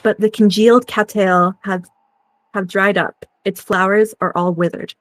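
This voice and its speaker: A woman playing a kind, knowledgeable crewmate in a drama, explaining things in a gentle manner. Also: medium pitch, steady tone, unhurried, natural voice, low-quality recording. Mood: caring, explaining. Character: kind, knowledgeable young female crewmate with mystical learning. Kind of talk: dramatic game-script acting.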